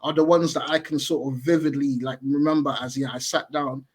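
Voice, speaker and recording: A man's voice: fairly high pitch, steady tone, brisk, breathy edge. Man, 30s, podcast guest on a video call; musing, hedging delivery in a slightly raised voice. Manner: thoughtful, hedging, self-correcting. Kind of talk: casual podcast chat over video call.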